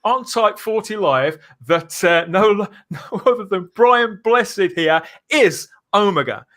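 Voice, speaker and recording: Guy hosting a live podcast, high bright voice, bit of a showman announcer. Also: expressive, takes it slowly. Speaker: podcast host.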